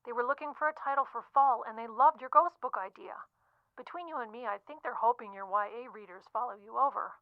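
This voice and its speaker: An actress playing a brisk, upbeat, pushy literary agent in an audio drama, chatting breezily and cajoling in slang through a telephone filter. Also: female voice, on the high side, dry recording. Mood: excited, cajoling. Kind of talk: audio drama acting, chatty phone-call delivery. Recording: tinny, narrow phone-line sound.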